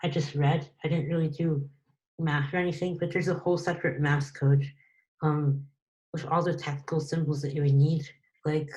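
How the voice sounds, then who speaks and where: A young woman answering questions after her student presentation on a video call, relaxed and hesitant, with many pauses. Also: sits low, low-quality recording. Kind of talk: casual online Q&A.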